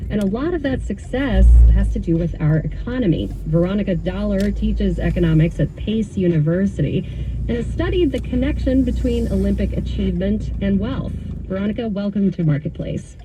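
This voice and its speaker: A woman hosting a radio program, introducing a guest and asking questions in a smooth, conversational way. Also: mid-range voice, animated, brisk. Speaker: radio show host. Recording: dull, noisy broadcast audio.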